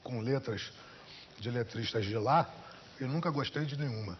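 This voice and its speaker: A man, a songwriter and poet, talking in an unhurried, musing way as an interview guest. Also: mid-range voice, muffled recording, a little hiss or hum. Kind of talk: relaxed interview talk. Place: television studio.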